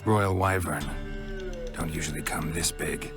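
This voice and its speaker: A very low, gruff monster hunter. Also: male voice, a little slow. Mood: grim, matter-of-fact. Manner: terse, deadpan, clipped sentences. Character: gruff, stoic middle-aged male monster hunter. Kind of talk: video game acting. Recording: music behind the voice.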